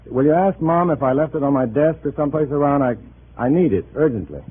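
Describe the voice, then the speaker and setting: Undercover man, 1950s radio thriller: nervous, hesitant, very animated pitch, tinny vintage sound. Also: quick pace, slightly rough. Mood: strained, falsely cheerful. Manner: hesitant, stammering under pressure. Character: nervous undercover agent posing as a loyal communist. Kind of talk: 1950s radio drama acting with first-person narration. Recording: tinny, noisy old radio recording.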